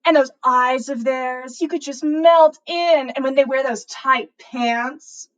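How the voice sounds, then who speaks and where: A woman's voice: high-pitched, slow pace, highs cut off, pitched above the performer's own voice. A woman voicing a boastful, boy-crazy teenage witch schoolgirl who gushes and stretches out her words for emphasis in a story reading. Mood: gushing, swooning.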